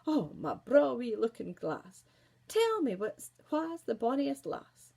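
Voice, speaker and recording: Woman putting on a shrill, vain Scottish fairy-tale Queen. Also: sing-song, slow pace, creaky, dark tone, breathy edge, heavily acted. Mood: coaxing. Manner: imperious, demanding.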